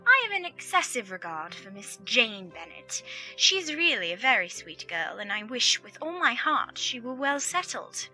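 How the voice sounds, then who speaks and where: A woman playing a snobbish, gossipy young gentlewoman in a period audiobook drama, with haughty, exaggerated emphasis. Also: a little high, very animated, moderate pace, faint music behind, full character voice, low-quality recording. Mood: mock pity.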